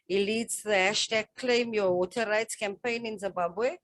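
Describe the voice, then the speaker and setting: Woman hosting an activist panel, mid-pitched voice, emphatic and appreciative, off the cuff. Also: fairly even intonation, a little slow, a bit bright, no room sound, studio quality. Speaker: session moderator. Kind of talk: spontaneous event moderation.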